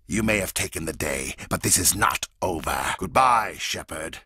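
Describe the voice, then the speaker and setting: A fanatical alien warlord in a video game, menacing and cold. His low, very husky voice has a sharp, forceful edge. He speaks deliberately and grandly, with intense swings of pitch. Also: animated, no echo, studio quality, full character voice. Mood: bitter, defiant. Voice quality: gravelly, raspy. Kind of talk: dramatic video game voice acting.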